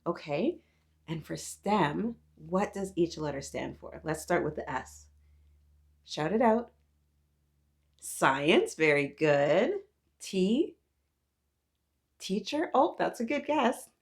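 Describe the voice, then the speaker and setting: A woman with a slightly low voice hosts a story time for little kids. She speaks like an encouraging teacher, putting questions to her young listeners and praising them as she reads aloud. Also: big pitch swings, slow, vocal fry, pauses often. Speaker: children's story-time host. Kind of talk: read-aloud for young children, talking to little viewers.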